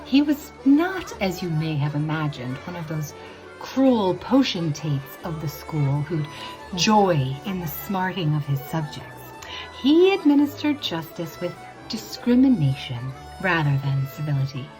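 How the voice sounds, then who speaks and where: A woman telling a spooky old tale as scripted narration, theatrical and deliberate, drawing out words for drama. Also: low-pitched, sing-song, a little mellow, rough recording. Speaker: storyteller, narrator. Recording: music behind the voice. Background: instrumental music.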